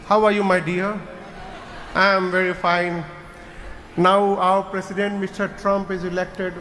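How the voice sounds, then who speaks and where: A man's voice: sits high, level tone, drawn-out words, sharp tone, pitched below the performer's own voice, full character voice. A man putting on a cheerful, boastful foreign ghost who talks in mock English with laughter. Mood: gleeful.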